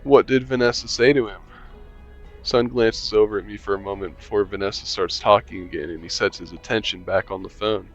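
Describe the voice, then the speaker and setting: A man's voice: lowish voice, steady tone, fairly quick, highs cut off, soft background music. A young man reading a visual novel aloud, playing the player character with casual, joking asides. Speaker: gaming channel host.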